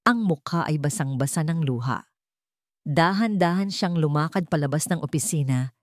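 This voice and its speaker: A woman with a Filipino accent reads a romance story with heavy drama. Her voice sits low and the sound is dry and close to the mic. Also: expressive, moderate pace, dry recording. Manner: emotional, dramatic reading. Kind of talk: dramatic scripted narration.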